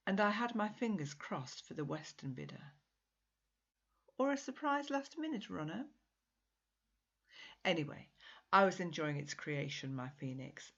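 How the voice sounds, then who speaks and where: A woman with a low, husky voice narrates literary fiction with measured, dramatic expression. She reads as the author of the piece. The recording is slightly muffled. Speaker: author reading own short story.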